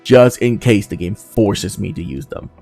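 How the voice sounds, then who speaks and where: A man's voice: fairly low pitch, a little slow, vocal fry, dark tone, husky, soft background music. A man giving casual playthrough commentary as a gaming host, thinking aloud and swearing casually as he goes.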